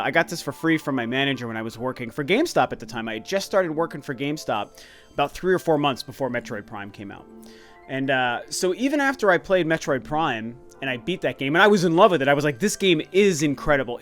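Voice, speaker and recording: A man's voice: a little high, fairly bright tone. A man in his late thirties hosting a video game channel, talking casually and eagerly, rambling with restarts and fillers. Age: 30s. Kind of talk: casual vlog talk.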